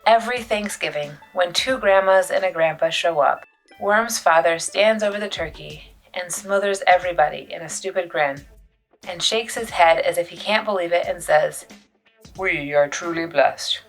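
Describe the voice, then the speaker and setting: A woman reading a children's novel aloud as an expressive storyteller, with dramatic pauses and emphasis. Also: fairly low pitch, soft background music, poor audio. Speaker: youth services librarian reading a book aloud.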